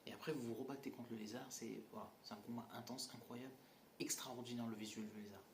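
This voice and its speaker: A man's voice: mid-range voice, steady tone, quick pace. Male reviewer, casual blunt delivery, mocking asides, roomy echo with faint background noise. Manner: blunt, mocking, many fillers and restarts. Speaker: movie reviewer. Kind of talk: casual spoken film critique.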